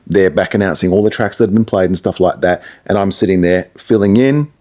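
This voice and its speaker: A man's voice: sits low, brisk, a little mellow. A man talking casually in an interview as a radio presenter, explaining things through anecdotes and asides.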